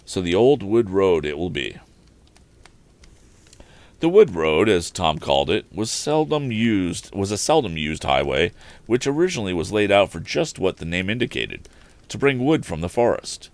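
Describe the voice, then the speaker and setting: A man with a low voice reads an adventure story aloud. His narration is expressive, with animated rises and falls in pitch, and the sound is dry and close. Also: moderate pace, dry recording. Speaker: host reading a story aloud. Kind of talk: expressive audiobook-style narration of an adventure novel.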